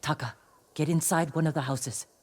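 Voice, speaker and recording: A woman's voice: sits low, fairly even intonation, normal speed, a little mellow, breathy edge. A woman playing a tough, protective older sister and fighter in a video game, with blunt, clipped delivery. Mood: blunt, firm. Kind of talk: dramatic video game acting.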